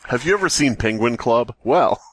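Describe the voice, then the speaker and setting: A man's voice: mid-range voice, expressive, moderate pace, fairly bright tone. A man co-hosting a podcast, riffing sarcastically in casual banter. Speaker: podcast co-host.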